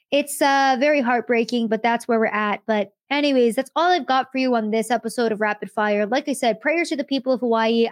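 A woman hosting a political commentary podcast, delivering an indignant, rapid-fire monologue. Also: high voice, dry recording, clean studio sound. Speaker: podcast host.

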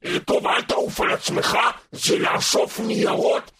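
A man's voice: a bit bright, close to an everyday voice. A man playing a bossy, dismissive devil who hands out jobs in hell, with music behind him.

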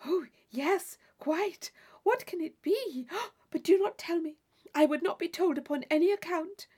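A woman's voice: extremely high pitch, animated, measured pace, a little mellow, pitched above the performer's own voice. A woman voicing a naive, eager young girl in a classic novel reading, sweet, breathless and earnest. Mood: thrilled. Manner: breathless, wide-eyed, earnest.

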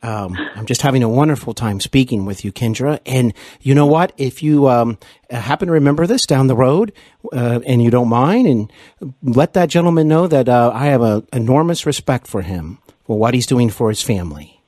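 A man hosting a casual interview podcast, speaking in an effusive, complimentary, folksy way with halting, deliberate phrasing. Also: mid-range voice, expressive, darkish tone, no room sound, clean studio sound. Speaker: podcast host interviewing a guest.

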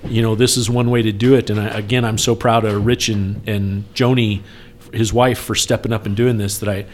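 A man's voice: fairly low pitch, flat delivery, normal speed, slight background noise. A man talking casually on a podcast in an earnest, persuasive, conversational way, repeating points for emphasis. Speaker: speaker appealing for campaign support.

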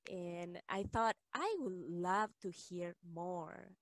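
A woman's voice: lowish voice, slow, dry recording. A woman speaking conversationally as a guest interviewer on a podcast. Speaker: guest interviewer, client of the host.

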